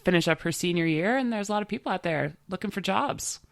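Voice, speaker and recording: Gravelly woman with a head cold. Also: lowish voice, animated, quick pace, no echo. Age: middle-aged. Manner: chatty, enthusiastic, many fillers. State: nasal from a cold. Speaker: podcast co-host. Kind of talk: casual podcast chat.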